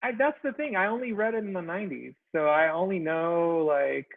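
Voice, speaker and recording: A man of about forty from Israel chats as a guest, halting and soft, leaning on fillers as his thoughts trail off. His voice is deeply gravelly and fried. It comes through a thin, phone-like video call with no room sound at all. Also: no echo. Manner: halting and soft-spoken, full of ums and trailing phrases. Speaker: guest cartoonist. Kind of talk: casual chat. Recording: tinny dry call audio.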